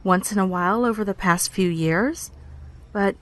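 A woman playing an apologetic, self-conscious young park ranger who speaks haltingly in a naturalistic podcast drama. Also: mid-range voice, a bit bright, lightly acted. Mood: embarrassed, uneasy. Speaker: actor in audio drama.

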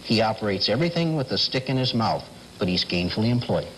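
A man's voice: fairly low pitch, big pitch swings, quick pace, a bit bright. A middle-aged American man explaining as an interview guest in a television studio, on slightly noisy vintage TV sound. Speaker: telephone company specialist, guest. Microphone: lapel mic.

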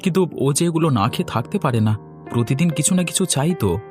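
A man's voice: a little high, animated, fast, a little mellow, close to an everyday voice. A man playing an anxious, deferential husband in a cartoon, worried and pleading. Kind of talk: cartoon dialogue. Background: instrumental music.

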